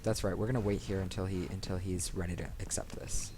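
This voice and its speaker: A man's voice: low voice, steady tone, fast talker, noisy background. A man hosting a let's play, rambling casually and thinking aloud into a desk microphone. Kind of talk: casual gaming commentary. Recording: music behind the voice. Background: video game music.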